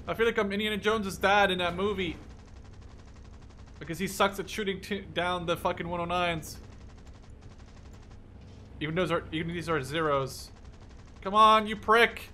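A young man giving casual, excitable gameplay commentary, swearing freely as he reacts out loud to the game. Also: sits high, normal speed, long pauses, slight background noise. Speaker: gaming video host. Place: small room at home, indoors.

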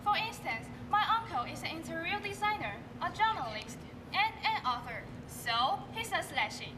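A young woman in a student stage skit, reciting sales-pitch lines. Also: big pitch swings, moderate pace. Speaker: student performer. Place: large school auditorium stage. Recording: distant, noisy hall recording.

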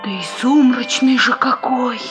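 High-voiced wistful peasant wife played by an actress. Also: female voice, steady tone, a little slow, lightly acted. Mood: wistful, hushed. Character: married peasant woman, wistful over a lost love. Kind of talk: Soviet radio play acting. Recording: 1950s radio play recording with music.

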